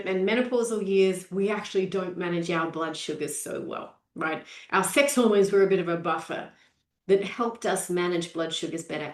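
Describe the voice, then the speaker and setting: Upbeat woman in her forties, roomy echo. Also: mid-range voice. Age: 40s. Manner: upbeat, greets viewers by name. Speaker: nutrition coach. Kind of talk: live-stream training.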